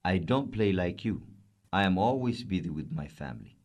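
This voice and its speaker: A man's voice: sits low, animated, normal speed, a little mellow, a little hiss or hum. A man reading as a proud grumpy camel. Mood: stiff. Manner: indignant, boastful storybook reading.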